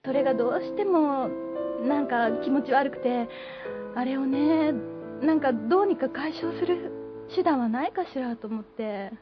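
A woman's voice: sits high, normal speed, natural voice. A woman playing a chatty bar customer who politely confides her worries in an improvised radio skit. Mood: confiding. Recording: dull lo-fi audio under music.

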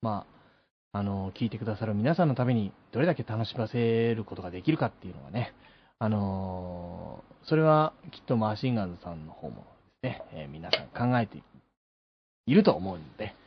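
A man appearing as a guest on a casual radio talk show, speaking politely and haltingly with playful bursts. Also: on the low side, expressive, breathy edge. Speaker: guest voice actor. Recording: muffled lo-fi audio with music behind. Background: background music.

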